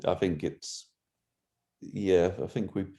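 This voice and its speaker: A man's voice: deep voice, fairly even intonation, slow, creaky, dark tone. A man hosting a podcast, chatting casually and rambling a little, laughing easily. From England. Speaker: podcast host. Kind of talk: casual video-call interview.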